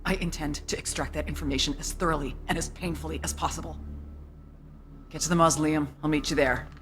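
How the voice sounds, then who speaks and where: An actress playing a ruthless, commanding intelligence agent in a video game, with a firm, blunt delivery. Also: female voice, deep voice, fairly even intonation, fast talker, fairly bright tone, faint music behind. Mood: determined, forceful. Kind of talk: dramatic video game cutscene dialogue.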